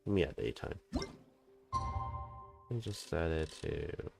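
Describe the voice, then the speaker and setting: Low, creaky-voiced male game streamer. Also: measured pace, darkish tone, faint music behind. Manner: slangy, swearing, scat-singing to himself. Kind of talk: casual gaming livestream chat.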